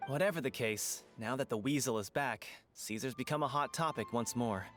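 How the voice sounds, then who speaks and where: A man's voice: medium pitch, normal speed, a bit bright, faint music behind, close to an everyday voice. An actor playing a chatty, opinionated townsman in a video game, emphatic and colloquial. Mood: enthusiastic. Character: chatty, opinionated townsman, animated and self-assured.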